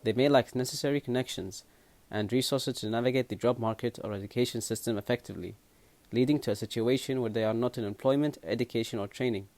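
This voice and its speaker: A man's voice: medium pitch, fast, a little mellow, no echo. A young man from Kuwait, a student presenting at a seminar, reading prepared points steadily.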